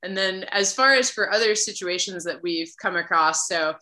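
A woman's voice: mid-range voice, moderate pace, bright, forceful tone, low-quality recording. An American woman in her thirties telling her own story conversationally as an interview guest. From Massachusetts, United States. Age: 30s. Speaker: podcast guest, military physician.

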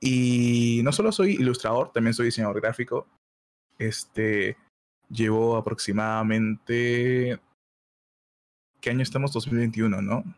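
A man's voice: mid-range voice, unhurried. A man in his early twenties from Peru, with a Peruvian Spanish accent touched by Mexican speech, chatting casually as a guest and laughing often. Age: 20s. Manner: laid-back, laughs often, uses slang. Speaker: guest, illustrator. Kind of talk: casual chat on a stream.

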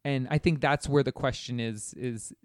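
A man's voice: mid-range voice, moderate pace, dry recording, clean studio sound. A man co-hosting a podcast, talking casually and reflectively, posing questions and checking for agreement. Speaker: podcast co-host.

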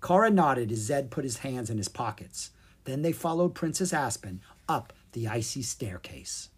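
A woman narrates a children's storybook in a low, slightly raspy voice. Her delivery is expressive and lively, with pitch that moves freely through the sentences. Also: normal speed. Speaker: storyteller reading a children's book.

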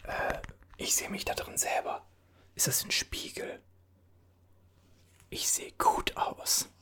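A performer whispering as a bewildered time traveler from an older era, puzzling over strange objects in a halting voice full of restarts. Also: pushed and bright. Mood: puzzled. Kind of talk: whispered ASMR roleplay.

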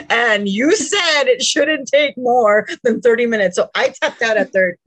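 A woman in her forties, a native Spanish speaker, co-hosting a podcast in a jokey, animated way and laughing often. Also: a little high, rough recording. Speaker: podcast co-host. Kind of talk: casual chat.

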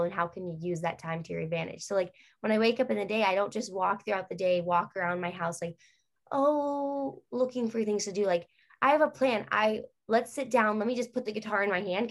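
A woman's voice: medium pitch, brisk. A young adult woman, a guest in a casual interview, talking at length in a rambling way with many fillers.

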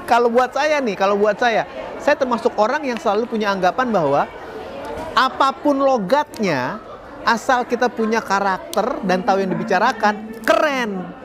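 Male speaking coach, upbeat and chatty, slightly high voice, recorded outdoors in a city with a crowd audible behind. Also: moderate pace. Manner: lively, chatty, laughs at his own slips. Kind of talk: motivational talk to camera.

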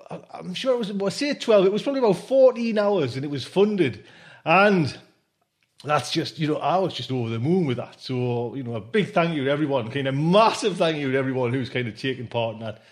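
A man with a higher-than-usual voice hosts a podcast. He talks casually and loosely, wandering through his points and checking in with listeners in an informal, chatty way. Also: expressive, a little fast. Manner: chatty and informal, full of conversational tag phrases. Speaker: podcast host. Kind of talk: casual, rambling podcast chat.

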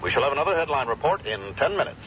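Formal newsreader on muffled radio. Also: male voice, fairly high pitch, expressive, racing, a bit bright, faint music behind. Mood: impersonal. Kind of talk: news bulletin reading in radio drama. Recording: muffled old radio, faint noise.

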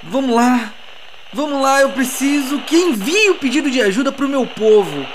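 A man with a Brazilian accent playing a flustered, foul-mouthed merchant stranded in wreckage, talking haltingly and agitatedly in an audio drama. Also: extremely high pitch, lively intonation, background noise. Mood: flustered, anxious. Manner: agitated, halting, swearing, muttering to himself. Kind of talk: audio drama distress message monologue. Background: music.

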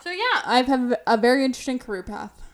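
A woman's voice: sits high, animated, fast. A young woman from New Jersey co-hosting a podcast in casual chat, rambling and self-mocking and laughing often as she talks. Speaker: podcast co-host.

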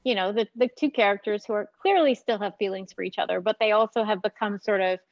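A woman giving her opinion in a casual discussion, explaining her points in a measured, analytical way. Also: mid-range voice, dry recording. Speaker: podcast panelist. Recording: dull, dry lo-fi audio.

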